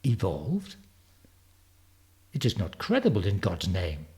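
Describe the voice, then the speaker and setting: A man voicing a puzzled, suspicious learned gentleman of the past in a storybook, muttering formal questions. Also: sits low, wildly swooping pitch, slow, pitched below the performer's own voice, heavily acted. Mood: bewildered, suspicious. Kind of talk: storybook character reading.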